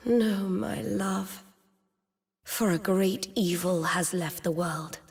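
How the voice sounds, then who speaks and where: A woman's voice: fairly low pitch, slow. An actress playing a loving, serene dying queen in a video game, with tender, solemn, lingering phrasing in a long reverb.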